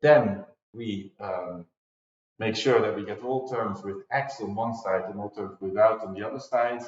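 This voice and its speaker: A man's voice: on the low side, unhurried. A man in his forties, an English speaker, giving a recorded math lecture at a whiteboard, explaining step by step with frequent fillers. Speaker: university lecturer. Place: classroom with whiteboard, indoors. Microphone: far camera mic. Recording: dull, roomy classroom sound.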